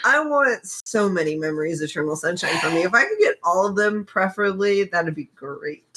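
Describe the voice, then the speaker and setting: A woman's voice: fairly low pitch, animated, brisk, a bit bright. An American woman chats as a podcast co-host, retelling a story casually. Her manner is blunt and sardonic, and she swears easily as she goes. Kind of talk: casual book recap chat.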